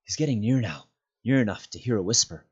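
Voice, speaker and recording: A man's voice: lowish voice, expressive, quick pace, a little mellow, breathy edge, pitched above the performer's own voice. A man voicing a firm, commanding young male hero who speaks with threatening resolve, on a dull lo-fi recording. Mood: urgent.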